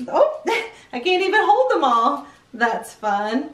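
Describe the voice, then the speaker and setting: A woman's voice: sits high, very animated, slow, roomy sound, distant mic. A middle-aged woman hosting a children's read-aloud show, playful and exclamatory, often putting questions to the young viewers.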